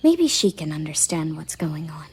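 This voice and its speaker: A woman playing a proud, commanding elven guard captain in a scripted roleplay audio drama, soft and often half-whispered, with formal, deliberate phrasing. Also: low-pitched, very animated, slightly rough, exaggerated voice. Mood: shocked, demanding.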